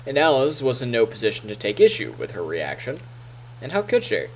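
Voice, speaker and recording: A man's voice: medium pitch, huge pitch swings, a little fast, a little hiss or hum, low-quality recording. A male streamer reading a visual novel aloud expressively as narrator, on a close microphone. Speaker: live streamer. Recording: music faintly behind.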